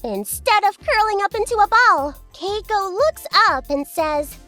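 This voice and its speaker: A woman's voice: extremely high pitch, lively intonation, a little slow, bright, forceful tone, full character voice. A woman playing a teasing, mock-pitying narrator of an anime comedy in an arch, theatrical way, with music behind her. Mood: wry, teasing.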